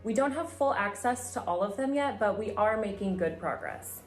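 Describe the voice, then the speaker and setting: A woman's voice: mid-range voice, lively intonation, normal speed. Woman, sketch agent, businesslike briefing, roomy echo, faint music. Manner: crisp, businesslike. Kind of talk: sketch comedy acting. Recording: echoey room with music.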